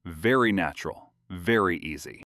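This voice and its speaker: A man's voice: sits low, normal speed, vocal fry, no room sound. A British man from London co-hosting an English-learning podcast, telling stories in a dry, playful, joking way. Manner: dry, playful storytelling with lively jokes. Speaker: podcast co-host.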